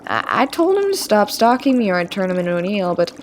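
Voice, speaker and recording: A woman's voice: medium pitch, very animated, fast, a little hiss or hum, lightly acted. A woman voicing a mischievous male trickster witch who teaches potion-making in an audio roleplay. The witch snickers, teases and stumbles over hesitant fillers. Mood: playful, sly. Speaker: potion tutor.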